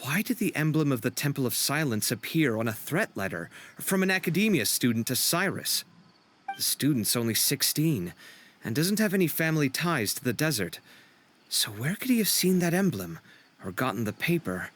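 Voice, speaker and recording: Animated, earnest young-sounding friend. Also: male voice, fairly high pitch, moderate pace. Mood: puzzled. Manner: conversational, animated. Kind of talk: video game voice acting. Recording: music behind the voice. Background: game music.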